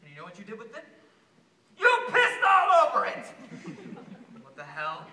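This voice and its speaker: An actor playing an unhinged, bitter working-class American would-be assassin in a theatrical stage monologue, veering erratically between shouted rage and hushed pleading. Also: male voice, a little high, lively intonation, brisk. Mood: sarcastic, mocking, then furious. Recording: distant, echoey amateur stage recording, faint noise.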